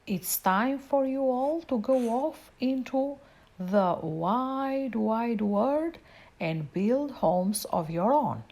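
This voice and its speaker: Lady reading as mama pig from a storybook, earnest and firm, slow, up and down melody. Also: on the high side, animated, dark tone, full character voice. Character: mother pig, loving but firm, advising her children.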